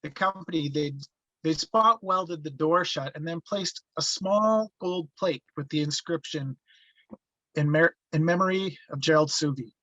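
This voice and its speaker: A man reading a haunted, reflective first-person narrator looking back on his youth, halting in places, on slightly muffled audio. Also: on the high side, sharp tone, no echo. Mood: reflective, regretful. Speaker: actor reading the narrator at a table read.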